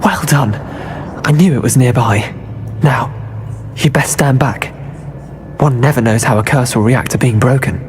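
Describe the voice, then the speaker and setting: A man playing a weary but gracious captive wizard in a video game, speaking formally and in measured phrases. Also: mid-range voice, noisy background, close to an everyday voice. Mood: grateful. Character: weary, grateful adult male wizard captive, dignified and gracious. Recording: music behind the voice.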